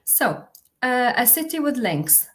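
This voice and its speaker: A woman's voice: on the high side, sing-song, slow pace, a bit bright. A young woman, a university officer, presenting a livestream with many fillers and restarts. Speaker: international officer.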